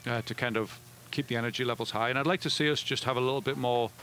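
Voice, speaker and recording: Middle-aged male coach, post-game, rapid delivery, headset mic, mid-range voice. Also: medium pitch, fairly even intonation, a bit bright, a little hiss or hum. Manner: fast, with frequent restarts and fillers. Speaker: head soccer coach. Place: indoor media room before a backdrop.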